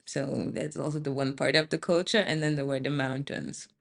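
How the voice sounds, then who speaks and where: Gravelly-voiced woman from Austria, thoughtful. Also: a bass voice, fairly even intonation, moderate pace. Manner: thoughtful, many ums, searching for words. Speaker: podcast guest. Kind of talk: casual interview conversation.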